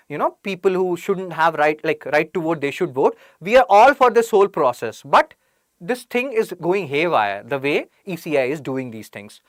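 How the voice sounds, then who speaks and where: Animated Indian English woman teaching. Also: on the low side, no room sound, studio quality. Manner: chatty, jokes and sarcastic asides; reads out student names from chat. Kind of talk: online exam-prep lecture.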